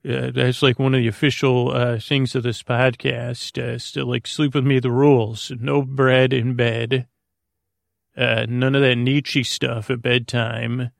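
A man hosting a sleep podcast in a meandering, halting, droning monologue full of tangents and repeats. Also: level tone, creaky, no room sound, studio quality. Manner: droning, low-key. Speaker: podcast host.

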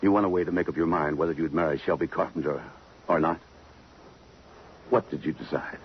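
A man's voice: extremely low pitch, brisk. An actor playing a tough American police lieutenant in 1950s radio drama, blunt and pressing as he questions people. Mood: firm, softening. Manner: blunt, pressing interrogation, slangy. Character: tough, persistent male police detective lieutenant. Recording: muffled, hissy 1950s radio broadcast.

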